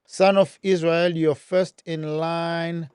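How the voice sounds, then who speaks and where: Male stream host, slightly high, dry, brisk and directing. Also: flat delivery, a little mellow, clear, resonant tone, no echo. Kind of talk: moderating a live call-in stream.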